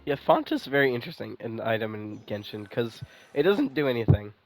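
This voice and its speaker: A man streams a game playthrough in a medium-pitched voice. His commentary is casual and sweary, and he repeats himself as he reacts. Faint music plays behind him. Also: very animated, brisk, poor audio. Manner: informal, sweary, repeats phrases.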